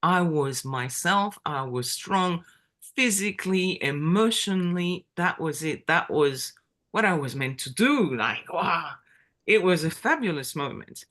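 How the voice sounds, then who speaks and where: A woman's voice: lowish voice, lively intonation, a little slow, fairly bright tone. A middle-aged woman with a French accent, a guest telling stories casually, full of fillers and laughter. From France. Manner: chatty, many fillers and laughs. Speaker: interview guest. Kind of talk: casual storytelling.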